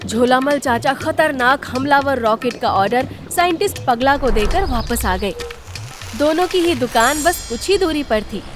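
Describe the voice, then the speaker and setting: A woman's voice: sits high, animated, unhurried. A woman delivering scripted narration for a cartoon story. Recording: loud music behind.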